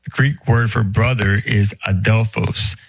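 A man's voice: fairly low pitch, fairly even intonation, vocal fry, slightly rough, dry recording, low-quality recording. A man who is a priest, explaining a Bible question in a casual, chatty way with many fillers. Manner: casual, filler-heavy, speeds up and slows down.

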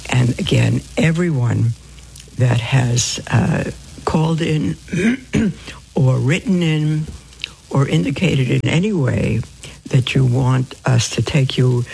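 A woman hosting live devotional radio in a hoarse voice, talking informally and haltingly with affection for her listeners. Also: very deep voice, dark tone, slight background noise, poor audio. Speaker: religious radio host.

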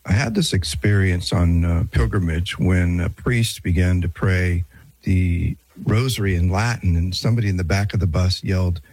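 A man's voice: low voice, normal speed, a little mellow, husky, no room sound, low-quality recording. A man hosting a radio show, moving from the interview into a break and a friendly, conversational promo.

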